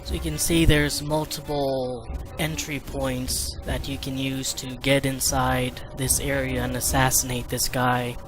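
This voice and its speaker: Loud game music plays behind a man with a medium-pitched voice. He gives casual commentary as a gaming host, in a wry and joking manner.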